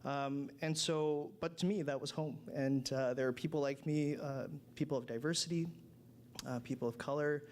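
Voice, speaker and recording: A man's voice: mid-range voice, fairly even intonation, normal speed. A man from Canada, a city planner, answering on a live panel in an earnest and grateful way, speaking in short hesitant bursts into a stage microphone. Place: conference stage before a live audience.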